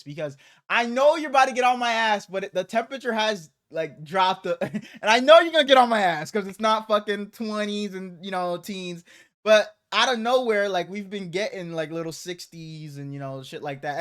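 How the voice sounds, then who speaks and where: Male podcast host, high voice, slightly congested, slangy and profane casual chat. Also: a bit bright. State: slightly congested, under the weather.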